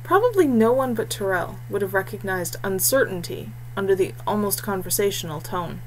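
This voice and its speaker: Female audiobook narrator, mid pitch, scripted fantasy storytelling, clear background noise and hum. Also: lively intonation, moderate pace, poor audio.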